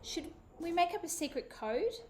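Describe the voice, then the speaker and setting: A woman's voice: very high-pitched, very animated, normal speed, a little hiss or hum, pitched above the performer's own voice. A woman reading a children's book aloud, voicing a timid little girl who speaks in a small, tentative voice.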